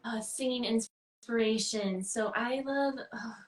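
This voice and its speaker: A woman's voice: fairly high pitch, takes it slowly. A woman being interviewed as a guest on a livestream call, chatting casually and informally, thinking aloud as she goes. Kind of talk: casual chat. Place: indoor room on a livestream call. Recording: roomy, echoing livestream call.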